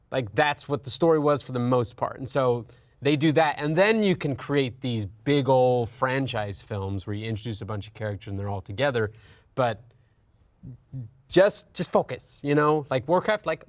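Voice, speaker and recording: A man's voice: mid-range voice, no room sound. A man in his thirties hosting a casual panel chat, explaining things in a rambling, conversational way. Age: 30s. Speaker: host of a video game and film talk show.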